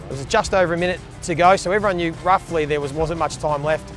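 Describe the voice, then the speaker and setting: A man's voice: fairly high pitch, a bit bright, faint music behind. An Australian man in his thirties hosting a casual, chatty interview outdoors in an empty stadium. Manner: chatty, quick. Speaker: host.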